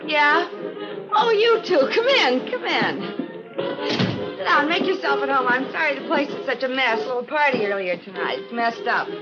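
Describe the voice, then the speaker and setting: A woman's voice: sits high, lively intonation, moderate pace. An American actress playing a boozy, evasive young woman in a 1950s radio crime drama, wheedling, coaxing and pleading. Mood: flustered, coaxing. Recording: muffled old radio recording with music.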